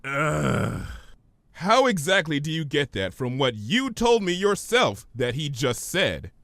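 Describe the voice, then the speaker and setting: Man playing an exasperated girl pony, raised pitch. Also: big pitch swings, creaky, fairly bright tone, no echo, full character voice. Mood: exasperated, doubtful. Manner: earnest, questioning, brisk. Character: sensible, bossy, exasperated young female cartoon pony.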